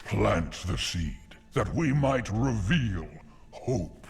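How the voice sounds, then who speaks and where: An actor playing a solemn, ancient mystic in a fantasy game, with a gravelly voice and a portentous, deliberate delivery. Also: male voice, on the low side, expressive, exaggerated voice. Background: faint music.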